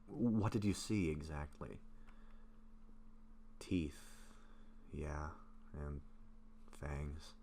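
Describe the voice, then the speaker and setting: A man acting a gentle, bashful, sick vampire in an intimate roleplay audio, speaking softly and haltingly to a close friend. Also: extremely low pitch, a little hiss or hum, heavily acted. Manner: soft-spoken, hesitant, halting with pauses.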